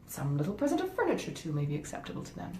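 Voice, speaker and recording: A woman reading a classic novel aloud as a pompous, easily persuaded, miserly gentleman husband, measured and formal. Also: wildly swooping pitch. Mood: agreeable, pompous. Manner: measured, self-satisfied, formal. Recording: roomy echo, faint rumbling noise.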